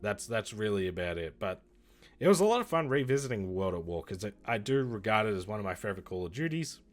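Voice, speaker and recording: A man streaming and chatting casually about games, rambling and correcting himself, with his voice going hoarse. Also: fairly low pitch, fast talker. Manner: rambling, self-correcting, lively. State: losing his voice. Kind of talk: casual livestream chat reviewing games.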